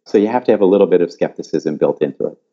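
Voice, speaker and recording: An older man who grew up in California, a psychology professor answering interview questions in an animated, thoughtful way, often restarting his sentences. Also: low-pitched, racing, dull audio.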